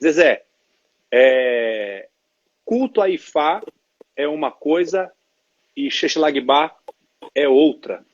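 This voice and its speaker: A man's voice: fairly high pitch, expressive, slow pace, long pauses, no room sound. A man hosting a live stream, asking hesitant questions with many fillers. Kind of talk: interview questions. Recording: dry, dull lo-fi stream audio.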